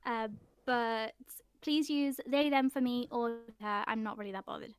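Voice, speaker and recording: A woman's voice: on the high side, normal speed, clear, resonant tone, no room sound. A woman who is a voice actor on a panel, casually introducing herself.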